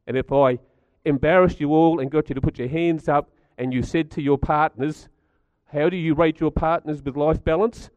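A middle-aged man with a croaky voice, giving a chatty talk to a live audience and asking them questions. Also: fairly high pitch, steady tone, normal speed, hardly any pauses, a little mellow, dry recording, muffled recording. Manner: chatty, stats-driven, asks the audience questions. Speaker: speaker at a community gathering.

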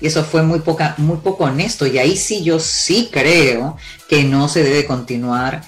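A woman's voice: deep voice, moderate pace, faint music behind. A woman, born in Cuba and raised in Peru, giving emphatic relationship advice as an interview guest. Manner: emphatic, lively storyteller with sweeping declarations.

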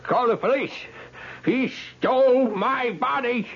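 A man's voice: extremely high pitch, slow, heavily acted. An actor playing a panicked young man who stammers, gasps and pleads in a 1970s radio drama. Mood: desperate. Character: panicked young man trapped in an old man's body. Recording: dull, noisy old radio recording.

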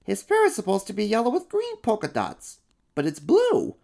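Brief: man playing a squeaky, outspoken little monster kid, lively, storybook. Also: normal speed, gravelly, heavily acted. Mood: indignant. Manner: high, piping and blunt.

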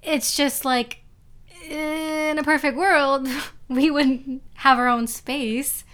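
A woman's voice: high-pitched, steady tone, slow, fairly bright tone. A young woman co-hosting a podcast, chatting loosely and casually with lots of fillers. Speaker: podcast co-host. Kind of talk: casual chat.

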